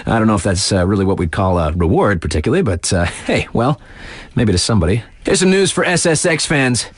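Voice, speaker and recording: A man's voice: on the low side, sing-song, a little fast, no echo, studio quality. An actor playing a laid-back, hip male radio DJ in a video game, delivering scripted snowboard news with casual, slangy asides. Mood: wry, casual. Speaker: radio DJ announcer.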